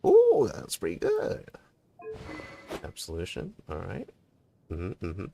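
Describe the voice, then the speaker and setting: Man streaming a game, very low voice, gravelly, playful and loud, swears. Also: wildly swooping pitch, takes it slowly, noisy background. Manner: playful and swearing, reacting loudly. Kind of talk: casual gameplay commentary.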